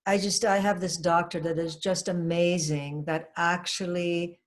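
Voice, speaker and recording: A woman's voice: fairly low pitch, level tone, a little slow. An older woman from Trinidad, a hypnotherapist guest, speaking earnestly and reflectively in a casual interview, with frequent fillers.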